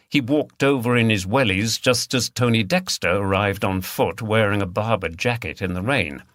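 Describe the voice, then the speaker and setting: A man's voice: on the low side, a bit bright, no room sound, studio quality. A man reading scripted mystery fiction as an audiobook narrator.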